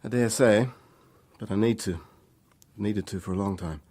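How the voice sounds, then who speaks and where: Deep, husky, troubled young man played by an actor. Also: moderate pace, warm tone, lightly acted. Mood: burdened, rueful. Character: troubled young man confessing his secret past. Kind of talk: radio drama dialogue, confessional storytelling.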